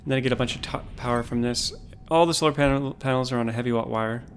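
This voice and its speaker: Calm, low-key man streaming a game. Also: faint music behind. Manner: relaxed, unhurried, thinks aloud with restarts. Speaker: livestreamer. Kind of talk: casual gameplay commentary.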